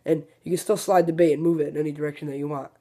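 A man's voice: on the high side, very fast. A man hosting a fishing video, casually demonstrating a tackle trick step by step and often restarting his sentences. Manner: relaxed, rambling, self-correcting explanations.

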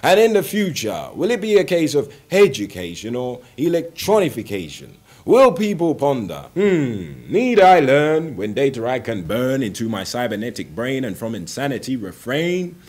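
A man in his twenties performing rhymed spoken word poetry as a poet, with deliberate, dramatic phrasing and stretched words. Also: medium pitch, big pitch swings, a little mellow. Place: indoor room, seated on a sofa.

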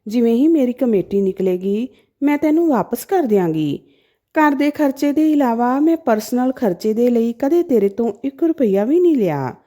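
A woman's voice: fairly high pitch, big pitch swings, moderate pace, warm tone. A woman voicing a scheming, outwardly polite aunt who guilt-trips for money in an audio story. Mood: politely manipulative.

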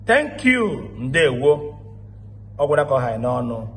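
Courteous Igbo interviewer, mid-pitched. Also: male voice, big pitch swings, a little slow, a bit bright, soft background music. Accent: Nigerian Igbo. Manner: formal, courteous questioning.

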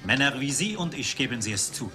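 An actor playing a suave, cynical rival archaeologist in a dubbed film, speaking in a polished, philosophical way. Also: male voice, medium pitch, very animated, fairly quick, lightly acted. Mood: suave, condescending. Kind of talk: dubbed 1980s film dialogue. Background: film music.

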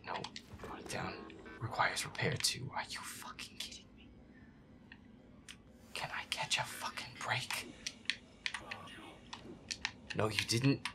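A man acts out a feisty young werewolf boyfriend in an audio roleplay, grumbling his way through a video game. His voice is gravelly and often drops close to a whisper. He mutters to himself, irritable and foul-mouthed. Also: on the low side, takes it slowly, long pauses. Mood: irritated, grumbling. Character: feisty, grumpy young male werewolf boyfriend playing games.

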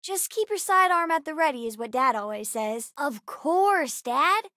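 A woman voicing a bright, bookish, sassy young girl in a video game, earnest, chatty and precocious. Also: high-pitched, lively intonation, measured pace, dry recording, clean studio sound, exaggerated voice. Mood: cheerful, earnest. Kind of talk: video game character dialogue.

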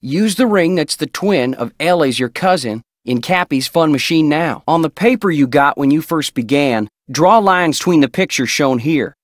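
An actor playing an earnest, tender Old West outlaw in a video game, reading his letters aloud in a folksy, deliberate way. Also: fairly high pitch, expressive, dry recording, studio quality, close to an everyday voice. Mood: instructive, measured. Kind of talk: acted reading of love letters.

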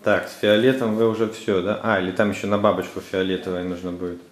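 Very deep-voiced dad in a roomy space. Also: male voice, fairly even intonation, fast. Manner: instructing and prompting. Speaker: father guiding children through a craft. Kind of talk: casual family chat. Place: indoor room at home. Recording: roomy echo, faint background noise.

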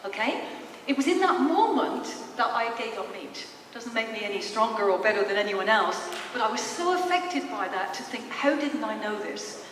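A woman's voice: on the high side, very animated, moderate pace, fairly bright tone. Echoey, distant woman speaking in a hall. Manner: conversational, storytelling, addresses listeners with questions. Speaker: speaker, psychologist presenting. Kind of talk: informal public talk to an audience. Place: large theatre hall, in front of stage. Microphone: handheld mic through PA, captured by distant camera. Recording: echoey hall from far off, noisy.

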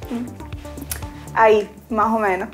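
A woman's voice: a little high, steady tone, takes it slowly, soft background music. A young woman from Caracas with a Venezuelan accent, chatting easily as a guest on a sofa in a small studio set and laughing often. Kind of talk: casual interview chat.

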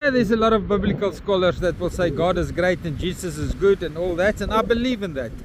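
A man's voice: high-pitched, lively intonation, brisk. Blunt South African man ranting outdoors. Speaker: vlogger. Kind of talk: outdoor walking vlog. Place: windy beach at an estuary mouth, outdoors. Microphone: phone camera held at arm's length. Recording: wind and outdoor noise.

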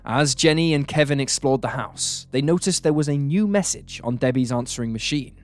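A man narrating a true crime story from a script in a measured, serious manner. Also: mid-range voice. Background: background music.

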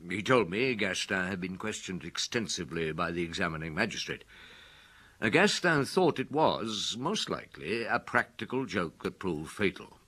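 An actor playing a shrewd, patient middle-aged police detective in a radio drama, with a measured, probing way of talking. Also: male voice, low voice, lively intonation, pushed and bright, husky, exaggerated voice. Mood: reflective narration. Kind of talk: radio drama dialogue and first-person narration.

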